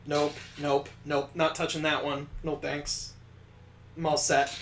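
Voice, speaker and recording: Male let's play host, slightly high voice, exasperated and sardonic, recorded in a roomy space. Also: monotone, slow, fairly bright tone, slight background noise. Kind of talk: live game commentary mixed with reading visual novel text aloud. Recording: roomy, echoing sound.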